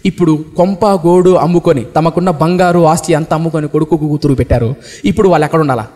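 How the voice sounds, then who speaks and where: Fiery preacher man in a reverberant hall. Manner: impassioned, rhetorical questions, swings between shouting and low tones. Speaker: pastor. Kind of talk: fiery sermon.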